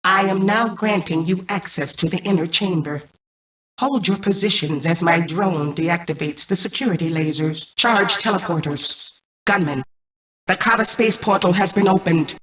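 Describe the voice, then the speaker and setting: A woman's voice: on the low side, moderate pace, a bit bright, reverberant, far from the mic, rough recording. A woman voicing a cold, imperious female mainframe AI in a video game, speaking formally and precisely, with a synthetic computer effect. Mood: clinical. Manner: formal, precise, haughty machine-like announcements. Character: cold, imperious female mainframe AI, menacing antagonist turned uneasy ally. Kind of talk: scripted video game character lines.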